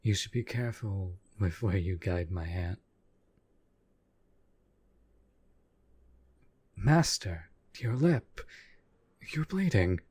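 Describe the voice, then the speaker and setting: A man playing a devoted, lovestruck demon bound to a summoner, husky and breathy, speaking in formal, courtly phrases in an intimate audio roleplay. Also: deep voice, lively intonation, a little slow, a little mellow, dry recording, full character voice. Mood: hesitant, curious.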